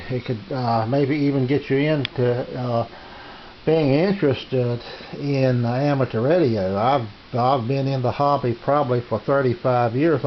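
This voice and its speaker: Middle-aged guy showing off his radios in his shack, lots of uh's, muffled low-fi audio. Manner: halting, many "uh" fillers and restarts. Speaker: ham radio hobbyist demonstrating receivers. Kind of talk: casual home video demonstration. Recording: dull lo-fi camera audio with hiss.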